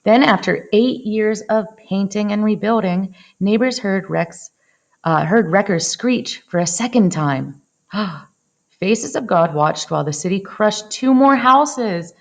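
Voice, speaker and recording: Gravelly-edged woman reading a children's story. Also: medium pitch, animated, takes it slowly. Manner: dramatic, acts out exclamations. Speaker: storyteller reading a picture book aloud. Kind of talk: expressive children's read-aloud.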